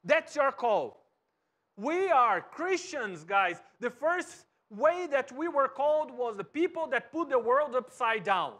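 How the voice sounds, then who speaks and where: A Brazilian pastor in his thirties preaches in English with a strong Brazilian Portuguese accent. His voice sits very high for a man. He moves about a church stage, sometimes quiet and sometimes loud. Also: slow. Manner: animated, wide gestures, swings from soft to loud. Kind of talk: sermon. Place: church stage with cross backdrop and bookshelves.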